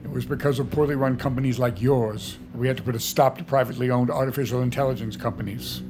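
An actor playing a defensive mayor in an audio drama, giving measured, formal, evasive answers as if at a press conference. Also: male voice, mid-range voice, steady tone, music in the background, close to an everyday voice. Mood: curt, combative. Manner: measured, evasive political speech. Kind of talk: audio drama acting, formal public address.

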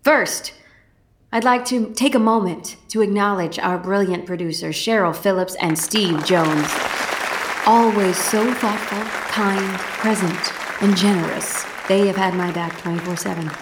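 A woman playing an effusive, theatrical Broadway director giving a gushing, grandiose speech with dramatic pauses. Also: medium pitch, close to an everyday voice. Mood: grandly grateful. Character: effusive, theatrical female Broadway director addressing an opening-night crowd. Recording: echoey stage microphone with noisy crowd.

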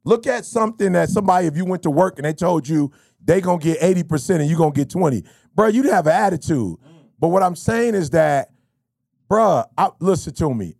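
Dude in his 50s, kinda high gravelly voice, slangy podcast riffing, dead dry sound. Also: a little fast, dry recording. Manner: animated, storytelling, slangy with bro and you feel me. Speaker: podcast host. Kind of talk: casual motivational chat.

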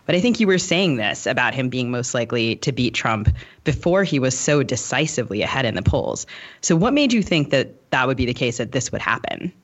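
A man's voice: very animated, a little fast, a bit bright. A man co-hosting a podcast, putting prepared interview questions to a guest. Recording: slightly dull, low-fidelity sound.

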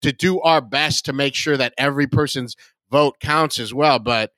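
A man's voice: medium pitch, moderate pace, a bit bright, no room sound, rough recording. A man, a comedian guesting on a podcast, chatting casually about politics and repeating words as he searches for the next thought.

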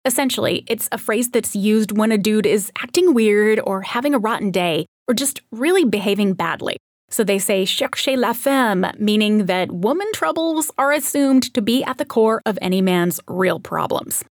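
A woman's voice: medium pitch, expressive, moderate pace, no echo, clean studio sound. A woman hosting an art history podcast, reading a scripted narration in a conversational way with wry asides. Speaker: podcast host.

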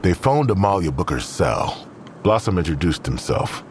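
A man's voice: low-pitched, sing-song, normal speed, very noisy. A man narrating a scripted crime audio drama as its storyteller.

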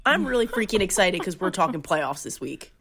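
A woman's voice: lowish voice, animated, a little fast, a bit bright, slight background noise. A woman co-hosting a podcast with a nasal, stuffed-up voice from a head cold, upbeat and laughing easily. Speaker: podcast co-host. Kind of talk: casual chat.

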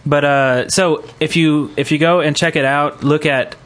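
A man's voice: fairly high pitch, steady tone, moderate pace, fairly bright tone. Casual man hosting a podcast. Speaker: podcast host. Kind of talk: casual gaming podcast chat.

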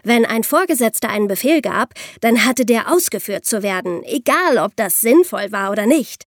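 A woman co-hosting an explanatory history podcast, speaking clearly and emphatically. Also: fairly high pitch, lively intonation, moderate pace, dry recording, studio quality. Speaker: podcast co-host.

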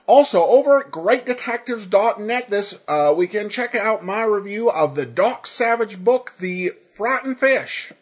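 American guy podcast host, husky voice that swoops up and down, kinda halting informal intro. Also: sits high, lively intonation.

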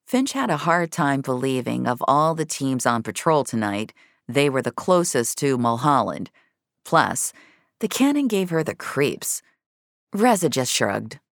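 A woman's voice: very deep voice, sing-song, unhurried, creaky, dry recording, studio quality. A woman narrating scripted science-fiction drama with a wry, noir storytelling manner.

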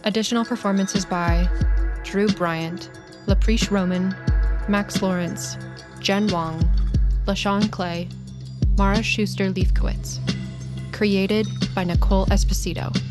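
A woman with a mid-pitched voice reads scripted credits as an announcer. Her delivery is measured and neutral, and music plays clearly behind her. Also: fairly even intonation.

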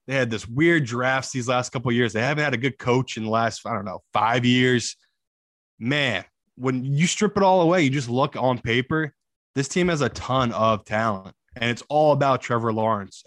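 A man's voice: mid-range voice, a bit bright, dry recording, studio quality. A man giving casual, opinionated sports betting analysis as a co-host, informal and enthusiastic, repeating phrases for emphasis.

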